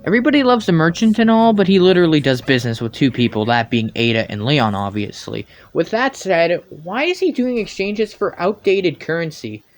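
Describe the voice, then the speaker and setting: A man's voice: a little high, lively intonation, brisk, few pauses. A young man giving humorous, informal scripted commentary on a video game, with a sarcastic edge.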